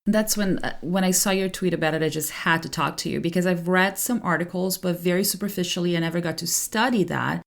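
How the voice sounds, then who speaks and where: A woman's voice: fairly low pitch, a little fast, gravelly, hardly any pauses. A woman whose first language is Portuguese, hosting an interview podcast in an easy, enthusiastic, chatty way. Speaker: podcast host. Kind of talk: conversational interview.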